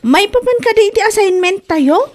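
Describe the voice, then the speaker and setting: A woman's voice: very high-pitched, lively intonation, a little fast. A woman with an Ilocano Filipino accent acting a village woman in a comedy drama. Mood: gentle, concerned.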